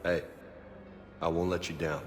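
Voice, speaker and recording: A man's voice: low-pitched, level tone, a little slow. A man playing a cocky, eager young marine lieutenant in a video game, casual, swaggering and playful. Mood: cocky, earnest.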